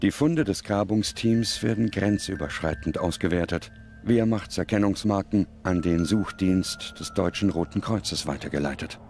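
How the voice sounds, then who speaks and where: A man reading scripted documentary narration in a solemn, measured manner with dramatic pauses. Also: low-pitched, slight background noise. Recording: loud music under the voice. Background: music.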